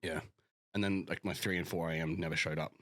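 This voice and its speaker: A man's voice: sits low, steady tone, quick pace, fairly bright tone, no room sound, studio quality. A young Australian man, a physique coach, chatting casually and swearing freely on a podcast. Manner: laid-back, sweary, rambling.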